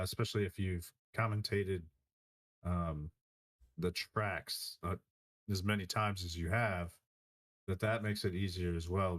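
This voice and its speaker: Man, podcast guest, very deep voice, calm, measured, dry sound. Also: no room sound. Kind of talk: casual podcast chat.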